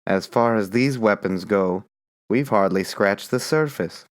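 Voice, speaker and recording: A drowsy rebel comrade, mumbling low and quiet as if just woken, put on by a man reading an audiobook. The voice drops well below the reader's usual tone. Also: unhurried, no room sound, studio quality, natural voice. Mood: sleepy. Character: sleepy male rebel comrade.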